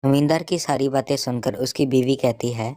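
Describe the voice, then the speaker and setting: A woman's voice: extremely low pitch, moderate pace, dark tone, dry recording, clean studio sound. A woman narrating a scripted cartoon story.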